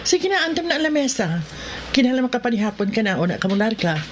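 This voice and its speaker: A woman's voice: on the high side, sing-song, fast. A woman playing an attentive, fussing mother and wife in a radio drama. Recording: clearly noisy radio drama track.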